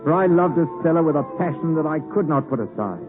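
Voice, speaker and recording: Man acting as earnest young gentleman, polite and formal, really animated pitch, tinny old radio broadcast. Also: fast, over music, lightly acted. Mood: brooding. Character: earnest, proper young English gentleman, anxious and lovelorn. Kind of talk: 1950s radio drama acting with narration. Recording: muffled narrowband 1950s radio broadcast recording.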